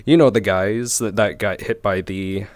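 A man's voice: lowish voice, fairly even intonation, normal speed, no echo. A man giving casual reaction commentary, musing aloud in a conversational way. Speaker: reaction video host. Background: faint music from the trailer.

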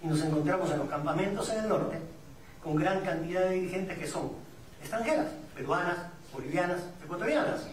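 A man's voice: high-pitched, expressive, fairly quick. A middle-aged man with a Peruvian accent speaking at a public forum, reflective and didactic, posing rhetorical questions. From Peru. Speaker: migrant community representative speaking on a panel. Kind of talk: public talk at a discussion forum. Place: indoor event room at a public forum. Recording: echoey room, distant mic, audience sounds.

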